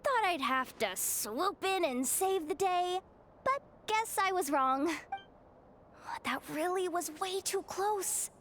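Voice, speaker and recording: A woman's voice: extremely high pitch, expressive, takes it slowly, a bit bright, slight background noise, exaggerated voice. A woman playing a wry, streetwise young woman in a video game, casual and self-mocking.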